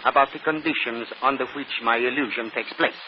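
A man plays a mysterious stage magician in a 1940s radio drama. He speaks at a middle pitch, formally and precisely, with theatrical self-assurance. The old broadcast is muffled with faint noise. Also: steady tone, fast talker, close to an everyday voice. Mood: proud, formal. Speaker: actor.

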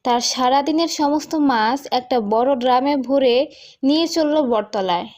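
A woman's voice: sits high, a little slow, a little mellow. A woman narrating a scripted cartoon folk tale as the storyteller.

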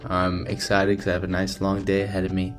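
Young man, casual relaxed vlog talk, hotel room, phone held at arm's length. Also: low voice, brisk, faint music behind.

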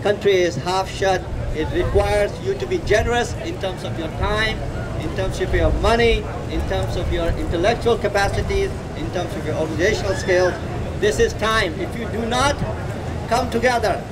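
High-pitched Nepali-accented man giving a rally speech. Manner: emphatic, deliberate, with repetition for stress. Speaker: activist speaker at a rally. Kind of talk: earnest political speech. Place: outdoor city plaza at night. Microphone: podium microphone. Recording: outdoor street noise with passing traffic. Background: street traffic and urban ambience.

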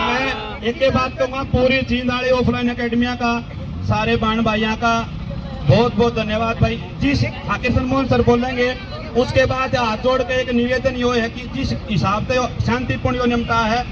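A middle-aged man with a Haryanvi accent giving a folksy, joking rally speech into a handheld mic at an outdoor protest. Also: extremely high pitch. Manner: folksy, joking crowd address. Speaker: protest organizer and coaching teacher. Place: outdoor street protest crowd. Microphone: handheld microphone. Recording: distant mic, loud crowd and traffic.

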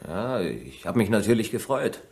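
An actor playing a bitter, defensive village shopkeeper under suspicion in a radio crime drama, curt and indignant. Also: male voice, lowish voice, sing-song, moderate pace, a little mellow. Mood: guarded, subdued.

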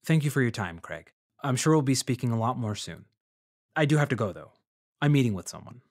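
An actor playing a polite, measured freelance journalist who narrates his investigation in a fiction podcast. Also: mid-range voice, animated, dry recording, clean studio sound, lightly acted. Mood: polite, careful. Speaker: narrator and lead character.

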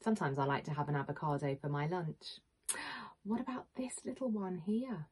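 A woman, a teacher reading a picture book to young children in an expressive, questioning manner. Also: low voice, a little fast. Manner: expressive, questioning, clearly addressing small children. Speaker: primary school teacher. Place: small home room with bookshelves, indoors.

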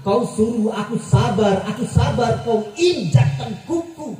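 A man's voice: very high-pitched, expressive, measured pace. A man reciting a protest poem with dramatic, declamatory delivery at a village stage performance. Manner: declamatory, rising to shouts. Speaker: poetry reader. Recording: echoey stage PA from far off.

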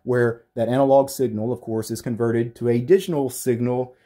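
Man in his thirties, deep mellow voice, slightly husky, casual rambling gear tour, small foam-lined home studio. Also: moderate pace. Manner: relaxed, rambling, lots of fillers and restarts. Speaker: tech YouTuber. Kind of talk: casual equipment walkthrough.

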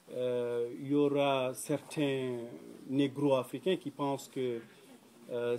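Young guy from mauritania at a round table, warm low-key voice, lots of uh pauses, small room, camera a bit away. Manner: measured, hesitant with frequent fillers, earnest. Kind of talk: public talk at a round table. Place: small indoor room with pale walls.

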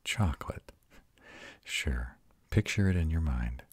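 A man performs a proud male vampire comforting his lover in an audio roleplay. His voice is extremely low and husky, delivered very slowly, with tender, intimate reassurance. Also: animated, dry recording, full character voice. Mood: soothing, patient. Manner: slow, soothing, intimate.

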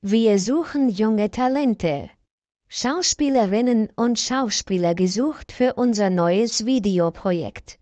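Slow, clear woman narrator. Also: on the high side, dark tone, no room sound, lo-fi sound. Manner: slow, clear, evenly paced reading. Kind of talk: slow scripted story reading for learners.

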